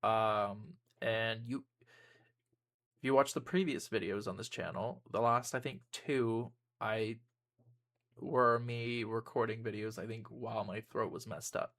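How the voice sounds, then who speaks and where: A man's voice: lowish voice, steady tone, slow pace, a bit bright. A young man, a YouTuber and streamer, giving a casual personal update to his viewers, rambling with frequent ums and restarts.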